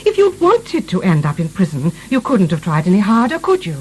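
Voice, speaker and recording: A woman's voice: medium pitch, wildly swooping pitch, a little fast, darkish tone. A woman acting in a radio drama as an ageing, manipulative aristocratic mother-in-law with theatrical, sanctimonious wounded dignity. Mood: aggrieved. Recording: faint hiss, 1990 radio broadcast.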